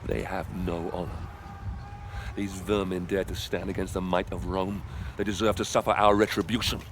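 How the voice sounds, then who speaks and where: An actor playing a grim, hot-headed Roman centurion in a video game, speaking with clipped intensity. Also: male voice, sits low, flat delivery, normal speed, slight background noise, natural voice. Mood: vengeful. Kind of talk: dramatic video game cutscene acting.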